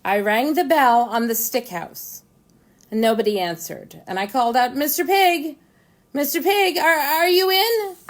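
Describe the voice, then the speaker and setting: Woman doing a chatty storybook wolf, acts all innocent, dramatic, highish voice, really slow and drawn out. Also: animated. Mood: breezy, self-justifying. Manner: dramatic, hesitant stammering, drawn-out words. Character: male storybook wolf, chatty, self-justifying, falsely innocent. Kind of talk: animated children's storybook reading.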